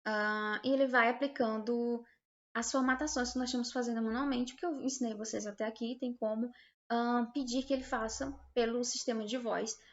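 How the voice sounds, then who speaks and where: A woman with a Brazilian accent giving an informal software tutorial as an instructor, explaining hesitantly and often checking that learners follow. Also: on the high side, steady tone, brisk, muffled recording. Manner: hesitant, explanatory, checks understanding with short tags.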